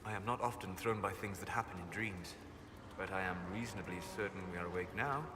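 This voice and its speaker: An actor voicing a reserved elven mage in a game, speaking in measured, formal phrases. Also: male voice, sits low, close to an everyday voice. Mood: hesitant. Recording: game audio with clear background noise.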